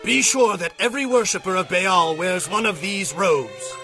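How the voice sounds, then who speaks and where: A man's voice: high-pitched, normal speed, over music. An actor playing a commanding, ruthless warrior king in a dramatized audio Bible, with emphatic, declamatory delivery.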